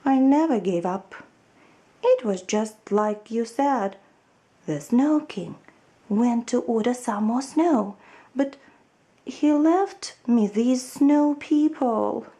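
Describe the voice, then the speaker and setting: An eager young storybook boy, voiced by a woman reading aloud, pitched high and quick to turn indignant. The delivery is earnest and childlike, with lively rises and falls. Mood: hopeful, earnest.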